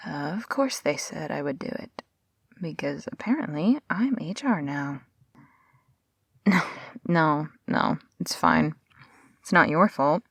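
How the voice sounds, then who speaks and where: Woman acting a wry, unhurried lab researcher. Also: low voice, lively intonation, breathy edge, no echo, studio quality, full character voice. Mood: wry, amused. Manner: wry, sardonic, casual. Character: sarcastic workaholic lab researcher woman, caffeine-addicted, brusque but friendly. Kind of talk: intimate audio roleplay acting, sci-fi.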